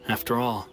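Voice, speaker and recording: An actor playing a regretful, reflective young wanderer in a video game, subdued and earnest. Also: male voice, fairly low pitch. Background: game music.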